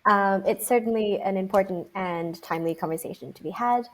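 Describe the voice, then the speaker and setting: Young woman, from Singapore, moderator, thoughtful, lots of ums, video call audio, mid-pitched. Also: a little fast. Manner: reflective, frequent um fillers. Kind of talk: panel discussion.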